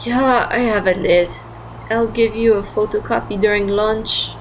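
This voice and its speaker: A woman's voice: mid-range voice, steady tone, unhurried, a little hiss or hum, natural voice, rough recording. A woman playing a thoughtful, skeptical teenage girl in an audio drama, polite and full of reasoning. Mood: curious, skeptical. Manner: inquisitive, reasoning.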